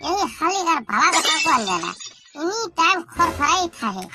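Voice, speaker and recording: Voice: very high-pitched, sing-song, normal speed. A performer voicing a whiny, indignant little cartoon schoolkid who rambles and grumbles. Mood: huffy. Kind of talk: comedy cartoon dialogue in colloquial Bangla.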